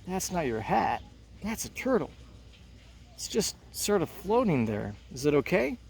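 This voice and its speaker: An easygoing boat dad, played by a male reader, friendly and laid-back with a raised pitch. The play reading has clear noise behind it. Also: big pitch swings, unhurried, a little mellow, exaggerated voice. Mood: concerned. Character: Freddie, easygoing helpful boat-owning dad.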